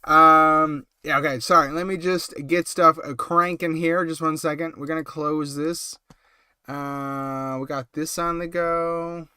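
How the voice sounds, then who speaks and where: A man's voice: a little high, fairly even intonation, measured pace, bright, forceful tone, smooth, dry recording, studio quality. A man hosting a casual late-night live stream while he has a cold. He rambles and chats, with lots of ums and small laughs. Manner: rambling, chatty, full of ums and little laughs.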